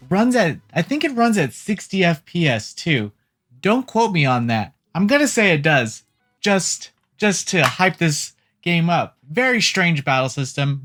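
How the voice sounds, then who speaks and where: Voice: mid-range voice, a bit bright. Casual game news host over music. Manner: informal, joking, teasing asides. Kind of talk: casual news rundown with opinionated asides. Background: background music.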